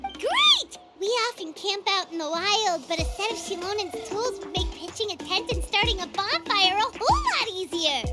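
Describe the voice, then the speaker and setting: Squeaky little game fairy girl, super high, cutesy n excitable, loud music under it. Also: moderate pace. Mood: excited. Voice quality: squeaky, childlike. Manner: exclamatory, cutesy. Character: small chirpy floating fairy-like girl companion, excitable. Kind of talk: video game character acting.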